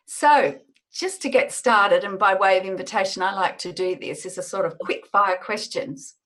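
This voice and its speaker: A woman's voice: medium pitch, moderate pace, a bit bright. Enthusiastic woman hosting a radio talk show. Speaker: host. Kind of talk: radio interview.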